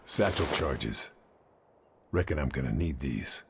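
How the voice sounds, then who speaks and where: A man voicing a gruff, laconic, unflappable wartime commando lieutenant in a video game, terse and deadpan. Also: measured pace, very noisy, exaggerated voice. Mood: low, musing. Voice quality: very deep, gravelly. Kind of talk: video game cutscene acting.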